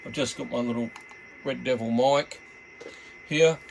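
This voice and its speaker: A middle-aged or older Australian man giving a casual, unscripted product walkthrough in a laid-back, halting, rambling way. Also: medium pitch, fairly even intonation, gravelly, a little hiss or hum. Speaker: hobbyist radio reviewer.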